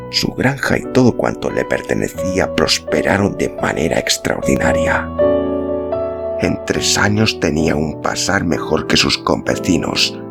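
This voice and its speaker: Low creaky-voiced guy narrating a classic novel for a podcast, instrumental music behind him. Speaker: audiobook-style podcast narrator. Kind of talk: scripted literary narration.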